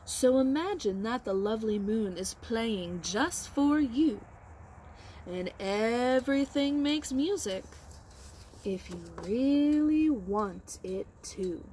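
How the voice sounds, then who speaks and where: A woman voicing a wise, gentle, encouraging storybook cricket in a soothing, lyrical way. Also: on the high side, expressive, takes it slowly, slight background noise.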